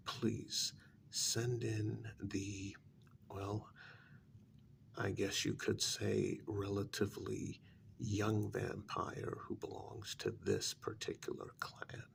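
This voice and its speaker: A man playing a blunt, put-upon vampire counselor in a soft-spoken ASMR role-play, breathy and often near a whisper, halting and formal. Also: fairly low pitch, little pitch movement, slight background noise, full character voice. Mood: exasperated, defensive.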